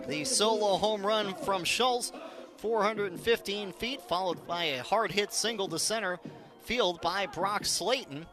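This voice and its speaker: A high-voiced American man delivers live radio baseball commentary. He narrates the game in a measured, detailed way and lifts readily into excitement when the action builds. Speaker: baseball play-by-play announcer.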